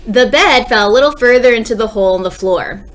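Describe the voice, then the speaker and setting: A woman teacher reading a children's story aloud with dramatic pauses, speaking directly to young listeners. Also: a little high, a bit bright.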